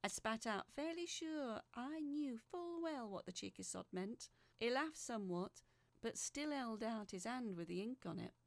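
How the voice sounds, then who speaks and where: Woman acting feisty lady telling her weird tale, highish voice w some creak, super animated, sharp tongue. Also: measured pace, no echo. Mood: wry, uneasy. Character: feisty working-class British woman recounting a strange ordeal. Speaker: narrator reading a letter aloud. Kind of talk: dramatic first-person storytelling in audio fiction.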